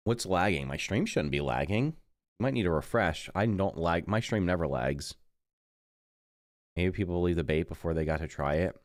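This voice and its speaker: A middle-aged man with a deep, congested voice chats casually to his livestream audience. He rambles and trips over his own sentences. The sound is dry and close, with no room around it. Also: animated, normal speed, no echo. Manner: rambling, self-correcting, mutters while busy. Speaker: livestreaming gamer. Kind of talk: casual live stream chat.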